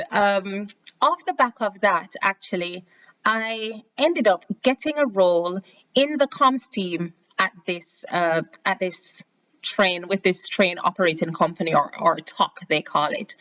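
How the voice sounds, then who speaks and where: A woman's voice: medium pitch, low-quality recording. A young adult woman with a Jamaican accent, hosting a podcast and telling her own story in a chatty, casual way. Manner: chatty, animated when quoting others. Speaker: podcast host.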